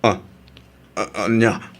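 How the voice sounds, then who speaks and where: A weary, remorseful radio-drama husband. His rough, lowish voice carries a Filipino accent and an emotive, pleading delivery. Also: fairly even intonation, slow pace. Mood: pleading, desperate.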